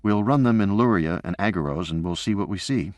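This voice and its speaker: A man voicing a calm, matter-of-fact woman scientist in an audiobook, speaking in an even, almost soothing way, with his voice lifted a little. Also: low voice, fairly quick, no room sound, close to an everyday voice.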